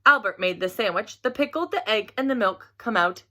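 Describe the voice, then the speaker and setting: A woman reading a picture book aloud to children in an expressive storytelling style. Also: mid-range voice, a little fast.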